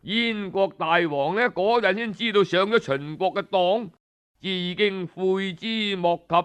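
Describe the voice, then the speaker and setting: Male Cantonese storyteller for historical audiobook; high voice, unhurried delivery, conversational oral style. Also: fairly bright tone, smooth. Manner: conversational oral telling with frequent sentence-ending particles. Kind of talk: traditional Cantonese storytelling narration of historical tales.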